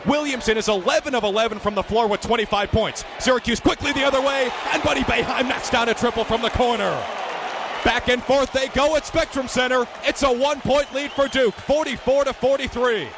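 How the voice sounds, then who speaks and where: A man in his twenties doing live sports commentary and reporting in a projected, energetic, detailed announcer style. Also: extremely high pitch, a little fast, crowd noise, noisy background. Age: 20s.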